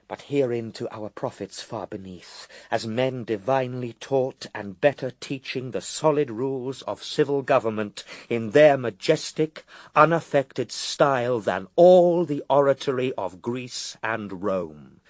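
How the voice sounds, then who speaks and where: A male actor voices a righteous, solemn divine figure in a radio play of epic verse. His deep-set conviction comes through in measured, declamatory phrases at a slow pace. The pitch sits a little low, and the sound is dry. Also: a little mellow, no echo, close to an everyday voice. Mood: firm, measured. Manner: grave, measured, declamatory, assured. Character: solemn, righteous male Son of God rebuking the tempter. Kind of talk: BBC radio drama verse recitation.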